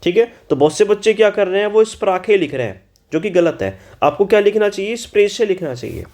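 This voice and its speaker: High-voiced man teaching German in Hindi. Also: sing-song, a little mellow. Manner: scolding, repeats mistakes students made; spells out German words slowly. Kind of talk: exam-solution lesson in Hindi.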